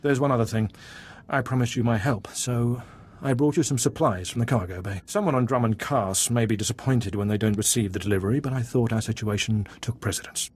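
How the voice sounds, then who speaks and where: Man as a gruff, husky warship captain. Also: mid-range voice, fairly quick, hardly any pauses, a little mellow, natural voice. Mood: composed. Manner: firm, commanding. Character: gruff, weary, pragmatic male warship captain. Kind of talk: video game voice acting.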